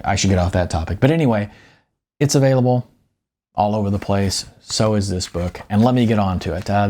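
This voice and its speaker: A man with a gravelly voice, an author narrating his own audiobook in an informal way. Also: on the low side, darkish tone.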